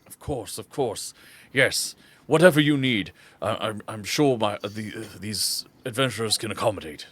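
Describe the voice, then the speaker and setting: A man playing a polite, worried father in a tabletop game, halting and stammering. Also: fairly low pitch, a bit bright, breathy edge. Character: polite flustered father, worried for his daughter.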